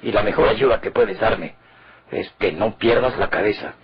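An actor playing a cold, controlling Mexican killer in an old radionovela, terse and commanding. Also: male voice, on the low side, moderate pace, husky. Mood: calculating. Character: cold, controlling male murderer on the run. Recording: noisy, muffled vintage radio recording.

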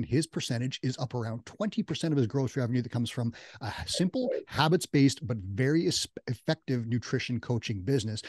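A man's voice: medium pitch, a little mellow, breathy edge, dry recording, clean studio sound. A middle-aged man hosting a conversational business interview. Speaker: podcast host and interviewer. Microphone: boom-arm studio microphone with headset.

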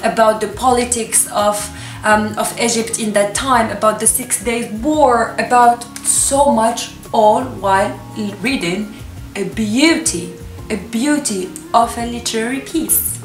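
A woman in her twenties, a native Arabic speaker, casually recommending books to camera in an expressive, gushing, emphatic manner. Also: fairly high pitch, unhurried, soft background music. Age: 20s. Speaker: book reviewer and vlogger. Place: small furnished room with books, indoors. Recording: echoey room, distant camera microphone.

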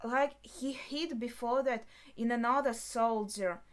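A woman in her twenties reacting casually on camera in a small room, thinking aloud in a halting way. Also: a little high. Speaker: reaction video host. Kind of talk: casual spoken reactions to an anime episode. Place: small bedroom, indoors.

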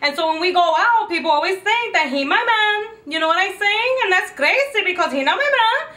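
A woman playing a loud, sassy, complaining wife with brash, folksy slang in a comedy sketch. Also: very high voice, animated, brisk, exaggerated voice. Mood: brash, indignant. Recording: echoey room.

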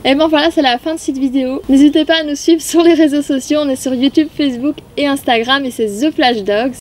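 A woman's voice: high-pitched, brisk, far from the mic, soft background music. A woman hosting a vlog in a casual, chatty style. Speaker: channel host. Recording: off-mic, faint background noise.

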